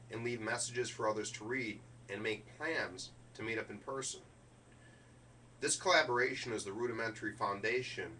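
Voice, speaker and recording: A man's forceful, bright voice delivers a motivational keynote with deliberate emphasis on key words. He is picked up from a distance, with a faint layer of noise and hum underneath. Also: fairly low pitch. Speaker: keynote speaker. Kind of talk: motivational business keynote speech.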